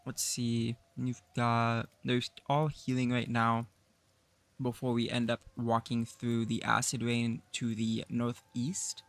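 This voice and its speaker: A man with a raspy voice, groggy from just waking up, casually chatting through a live gameplay stream and rambling with self-deprecating humor. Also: mid-range voice, monotone, slow pace. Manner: rambling, self-deprecating, with frequent fillers and laughs. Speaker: game streamer.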